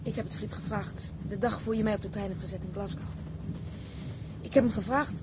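An actress playing a shrewd, caring captain's wife in a radio drama, speaking Dutch as in the Netherlands. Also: female voice, medium pitch, fairly even intonation, brisk, lightly acted. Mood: composed, shrewd. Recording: noisy radio drama recording.

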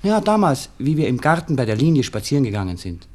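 Young Viennese gentleman lover, mid-pitched, animated, courteous yet evasive, 1960s radio drama. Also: quick pace. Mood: tender, evasive. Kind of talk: 1960s German radio play acting. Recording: 1960s radio studio recording.

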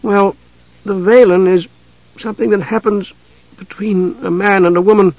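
Guy doing a young woman from a fantasy audiobook, high pitched, haughty n defensive, kinda muffled recording. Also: lively intonation, moderate pace, creaky, a bit bright, dry recording, heavily acted. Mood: flustered. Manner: hesitant, defensive, offhand boasting. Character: haughty, evasive young woman of mixed human blood.

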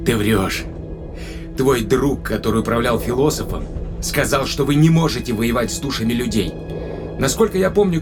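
A man's voice: mid-range voice, natural voice. A man playing a tense, distrustful astronaut in an audio drama, questioning tersely and accusingly. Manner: terse, interrogating. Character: tense, distrustful spaceship astronaut confronting an alien.

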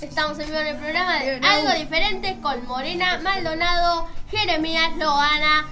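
A woman's voice: high voice, normal speed, sharp tone, soft background music. A woman hosting a live, chatty community radio show. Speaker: radio host.

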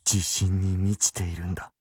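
A man's voice: low voice, little pitch movement, moderate pace, darkish tone, husky. A man playing an earnest, straitlaced detective novelist in a period radio drama, polite but easily flustered and incredulous. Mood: incredulous, agitated.

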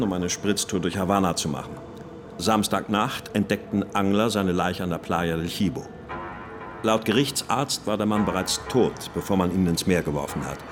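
An actor playing a polite, measured police colonel, a persuasive new boss in a radio crime drama. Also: male voice, deep voice, lightly acted. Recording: music behind the voice.

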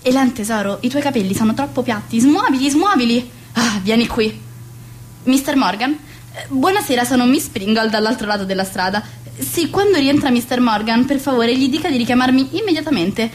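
A woman's voice: a little high, expressive, a little fast, a little hiss or hum, natural voice. An actress playing a fussy, bossy, social-climbing society mother in a radio play, with a theatrical, gushing manner. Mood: bossy, brisk.

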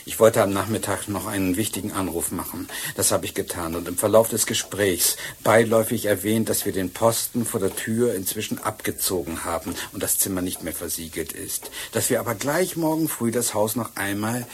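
An actor playing a shrewd, secretive police inspector in a German radio crime drama, speaking with measured authority. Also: male voice, lowish voice, breathy edge. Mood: hushed, confiding.

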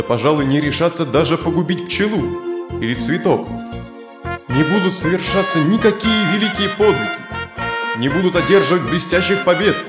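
An actor playing a grumbling, warlike Roman soldier who scorns gentleness, in an audio fairy tale. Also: male voice, a little high, lightly acted. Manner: brooding, disdainful, rhetorical. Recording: roomy echo with music underneath.

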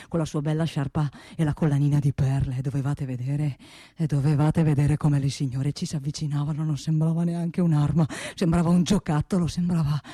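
A blustering factory boss, played by a woman in a comic theatre monologue, pitched low and recorded dry. He is pompous and self-justifying, and hammers the same phrases over and over. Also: dark tone, husky, no room sound. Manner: pompous, repeats himself obsessively.

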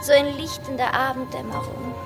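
An actress in a German radio play voicing a lively, playful, romantic young baroness who teases and pleads poetically. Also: female voice, high voice, a little fast, creaky, recorded from a distance, over music. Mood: teasing, dreamy. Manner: exuberant, pleading, poetic, theatrical.

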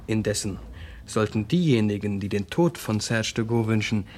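An actor playing a wary, measured man in a radio crime drama, speaking formally and deliberately. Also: fairly low pitch, expressive, darkish tone, close to an everyday voice. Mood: pondering, grave. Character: wary, measured man investigating a shady death.

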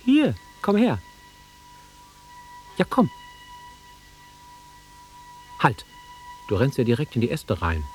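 An actor playing a nostalgic, easily offended middle-aged man with a husky voice in a naturalistic radio drama. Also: medium pitch, wildly swooping pitch, a little slow, darkish tone, soft background music, poor audio. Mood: insistent. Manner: wistful, insistent, easily offended. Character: nostalgic, touchy middle-aged man revisiting his childhood fields.

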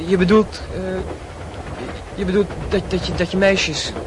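An actor playing a shy, curious, polite teenage schoolboy in a radio play, hesitant and questioning. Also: male voice, steady tone, a little slow. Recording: noisy radio play, rumbling background.

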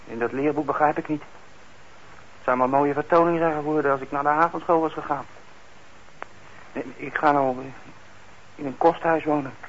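A troubled, defensive young man played by an actor in a radio play. His voice sits a little high and he speaks haltingly, stumbling over words when upset. The old recording is dull, with some background noise. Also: steady tone, natural voice. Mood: resigned. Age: young adult. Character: troubled young male parolee, defensive and hesitant. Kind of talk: radio drama acting.

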